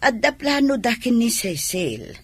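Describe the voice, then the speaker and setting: A woman with an Ilocano Filipino accent and a raspy, husky voice playing a hopeful wife and proud mother in an audio drama. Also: on the high side, very animated, unhurried, a little hiss or hum. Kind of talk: Ilocano radio-style drama acting.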